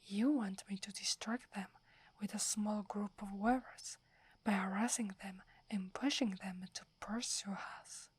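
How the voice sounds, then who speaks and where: A woman with an Italian accent playing a submissive, earnest female werewolf in a soft, breathy, hesitant ASMR whisper. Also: medium pitch, fairly even intonation, dry recording. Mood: respectful, tentative. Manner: hesitant, respectful, measured.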